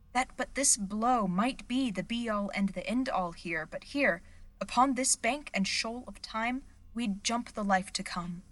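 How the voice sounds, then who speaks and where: A woman's voice: a little high, a bit bright. A woman playing an earnest, unsure young understudy actress with a hesitant manner.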